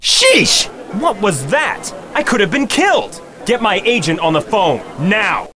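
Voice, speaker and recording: Swooping, theatrical soap star. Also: male voice, high-pitched, very animated, unhurried, over music. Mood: outraged. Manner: theatrical, indignant. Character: vain, dramatic male soap opera star. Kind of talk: video game dialogue.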